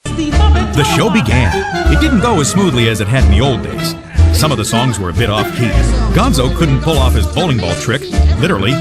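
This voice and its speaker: Animated male storybook narrator over music. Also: medium pitch, a little fast. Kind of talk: scripted children's story narration.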